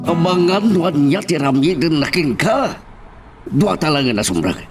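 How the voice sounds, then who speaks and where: A man's voice: on the high side, sing-song, moderate pace, recorded from a distance, over music. A man with an Ilocano accent playing a worried older brother pleading with his outlaw sibling in an audio drama.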